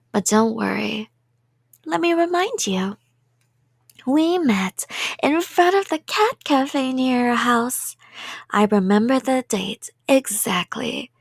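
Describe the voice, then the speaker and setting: A woman plays a doting, delusional yandere in an ASMR roleplay. Her voice is rather high and very slow, recorded close and dry. She rambles sweetly and playfully, slipping toward whispers, cheerfully obsessed. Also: dry recording, full character voice.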